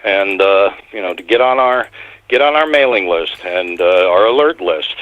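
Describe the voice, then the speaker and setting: Low-voiced man on a tinny phone line. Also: animated, moderate pace. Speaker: guest, advocacy group founder. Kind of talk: casual radio talk.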